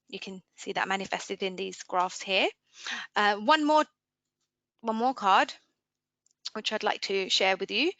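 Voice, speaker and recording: Bright-voiced woman presenting a software webinar. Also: medium pitch, dry recording. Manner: explanatory, conversational, posing questions to listeners. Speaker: webinar trainer. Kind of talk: informal software demo presentation. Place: indoors, screen-share webinar voice-over.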